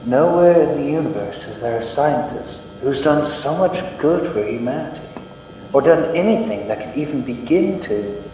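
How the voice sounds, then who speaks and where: A young man playing an earnest, polite heroic space adventurer in an old-time radio serial, speaking deliberately. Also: on the high side, animated, mellow, close to an everyday voice. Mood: admiring. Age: young adult. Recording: very echoey hall, distant, faint hum.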